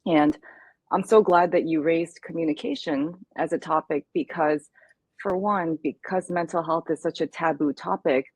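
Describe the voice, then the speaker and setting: A woman's voice: deep voice, normal speed, a little mellow, husky, no echo. A woman speaking in an interview, earnest and reflective as she talks through her experience. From United States. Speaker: guest speaker. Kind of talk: candid interview conversation.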